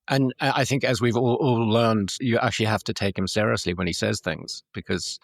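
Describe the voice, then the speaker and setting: A man's voice: on the low side, steady tone, a little fast, vocal fry. A man hosting a podcast, talking in a casual conversational interview style. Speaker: podcast host.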